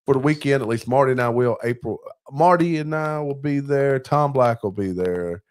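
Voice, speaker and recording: A man's voice: mid-range voice, normal speed, clear, resonant tone. A man hosting a live podcast in an informal, conversational way, bantering casually. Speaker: podcast host.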